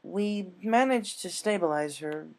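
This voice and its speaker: A man voicing a solemn, apologetic female nurse pony with a gentle, hesitant, grave delivery. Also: sits high, expressive, unhurried, a little hiss or hum, voice pushed up.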